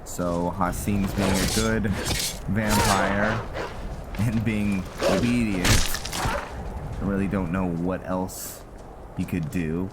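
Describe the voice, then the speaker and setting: A man giving casual gameplay commentary as host, thinking aloud in a halting way, with game music behind him. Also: low voice, fairly even intonation, heavy background noise. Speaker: gaming channel host.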